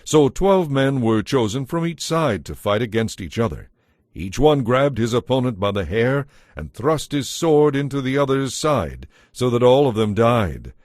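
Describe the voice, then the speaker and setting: A man's voice: medium pitch, sing-song, a little slow, darkish tone, no room sound, clean studio sound. A man narrating a dramatized audio Bible as a scripted storyteller.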